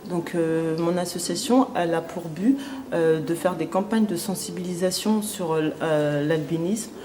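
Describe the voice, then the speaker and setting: A woman's voice: on the low side, unhurried, noisy background. A woman in her thirties from Cameroon, president of an association, speaking hesitantly with many fillers in an interview. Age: 30s. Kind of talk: testimonial interview.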